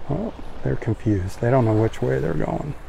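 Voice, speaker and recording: A man hosting a live beach walk, chatting in a relaxed, folksy, cheerful way while filming outdoors on a phone. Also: mid-range voice, normal speed, gravelly, a little hiss or hum, poor audio. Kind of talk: casual live narration while walking. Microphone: phone camera held while filming.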